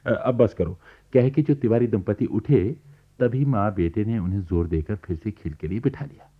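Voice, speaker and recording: An actor playing an amused storyteller in a radio play, narrating in a wry, chatty way. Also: male voice, on the low side, expressive, a little slow, husky. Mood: amused, relishing. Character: amused male storyteller recounting neighbourhood gossip. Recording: dull, lo-fi archive radio recording.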